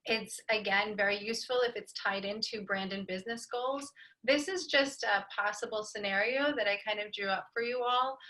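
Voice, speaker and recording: Woman, bright forward voice, middle pitch, informative panel presenter, marketing expert. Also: rough recording.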